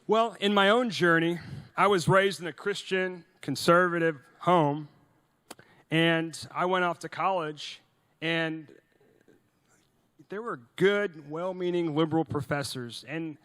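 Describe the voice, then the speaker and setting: A man's voice: a little high, slow, fairly bright tone. Earnest young man exhorting a hall. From Norway. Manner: earnest, exhorting, with frequent restarts and rhetorical questions. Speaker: event speaker. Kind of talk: rousing speech to a youth audience. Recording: long reverb of a large hall.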